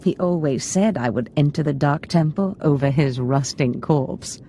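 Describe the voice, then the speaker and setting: An actress playing a scheming, ambitious female Sith lord in a video game, speaking in a measured, imperious, portentous manner. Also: low-pitched, warm tone, slight background noise, close to an everyday voice. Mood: calculating, triumphant. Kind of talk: scripted video game dialogue.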